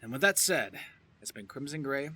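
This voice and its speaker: A man's voice: mid-range voice, lively intonation, moderate pace, sharp tone, husky. A man talking casually and informally as a voice actor giving a tutorial, rambling a little and encouraging the listener. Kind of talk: loose, casual instructional talk.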